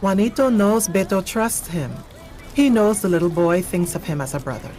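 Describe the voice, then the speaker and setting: A woman playing a grave, measured narrator of a radio drama. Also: medium pitch, lively intonation, mellow, soft background music, close to an everyday voice. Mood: solemn. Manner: measured, solemn. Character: grave, all-knowing female narrator.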